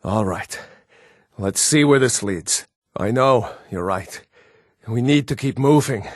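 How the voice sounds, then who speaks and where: A man's voice: on the high side, gravelly, a bit bright, dry recording, studio quality, heavily acted. An actor playing a steadfast, plain-spoken Nord rebel who urges his comrades on in a fantasy video game. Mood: guarded, steady. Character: rugged, steadfast Nord rebel man, wry and urgent. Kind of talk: fantasy game dialogue lines.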